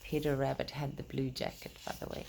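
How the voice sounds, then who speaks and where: A woman's voice: very deep voice, little pitch movement, a little fast, gravelly, warm tone, a little hiss or hum. A woman hosting a children's storytime, reading aloud and talking directly to young listeners in simple, explanatory language. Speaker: children's storytime host and reader.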